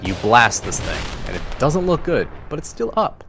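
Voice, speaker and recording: A man's voice: medium pitch, big pitch swings, moderate pace, music in the background. A man narrating a tabletop fantasy game as game master, with dramatic, descriptive storytelling.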